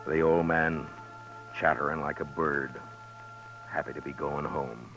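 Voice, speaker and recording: An American man acting a gruff but humane, plain-spoken frontier marshal in a 1950s radio western. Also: extremely low pitch, steady tone, measured pace, music in the background. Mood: reflective. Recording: dull vintage 1950s radio recording.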